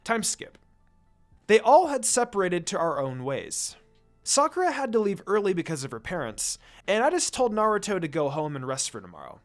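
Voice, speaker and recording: A man's voice: high voice, sing-song, moderate pace. A man narrating a scripted story expressively, reading fan fiction aloud. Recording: music under the voice. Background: music.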